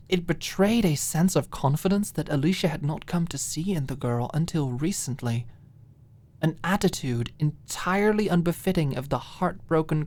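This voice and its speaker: Very low-pitched, slow woman audiobook narrator. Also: a little mellow. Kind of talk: scripted fiction narration, ASMR audiobook.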